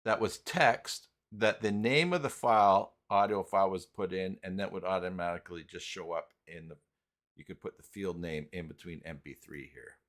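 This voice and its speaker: A man hosting a casual live software tutorial, talking haltingly and muttering to himself as he works through things on screen. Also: low-pitched. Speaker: live stream host, software tutorial presenter. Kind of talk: casual unscripted screen-share walkthrough.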